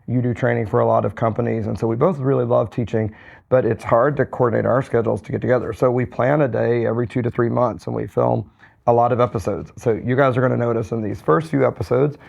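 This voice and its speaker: Hoarse guy chatting on a podcast, really gravelly, kinda flat and even, rambles with lots of ums, slightly dull sound. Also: mid-range voice, fast. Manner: relaxed, rambling with many fillers and restarts. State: hoarse, recovering from a cold. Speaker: podcast co-host, physician. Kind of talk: casual podcast chat.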